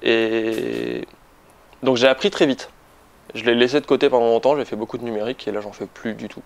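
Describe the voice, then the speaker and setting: A man's voice: mid-range voice, fairly even intonation, a little fast, creaky, slight background noise. A man in his late twenties from Toulouse, chatting casually and with some self-deprecation as he is interviewed. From Toulouse, France. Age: late 20s. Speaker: interviewed photographer.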